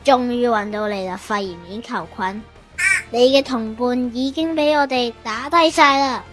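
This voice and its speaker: A woman's voice: on the high side, a little slow, over music, lightly acted. Woman doing a cool, stern immune-cell fighter. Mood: stern, determined. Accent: Hong Kong Cantonese.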